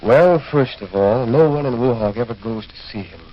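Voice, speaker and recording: An actor playing a grave, cautious middle-aged doctor in measured 1940s radio drama style. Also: male voice, mid-range voice, lively intonation, lightly acted. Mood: grave, confiding. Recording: hissy, muffled 1940s radio recording.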